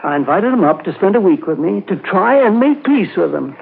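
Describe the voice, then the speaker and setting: A man's voice: high-pitched, very animated, a little fast, creaky, a little hiss or hum, full character voice. An actor playing a cantankerous old American man, curt and domineering, in a 1950s radio drama with tinny sound. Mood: defensive, irritable. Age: older. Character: cantankerous, crooked rich old man of seventy-four, fearful. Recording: tinny narrow-band old radio recording.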